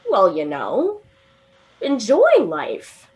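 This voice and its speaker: A woman's voice: mid-range voice, wildly swooping pitch, darkish tone, heavily acted. A woman playing a giggly tipsy young woman. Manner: giggly, drawn-out tipsy delivery. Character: tipsy giggly young woman at a late-night train platform. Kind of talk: radio drama acting.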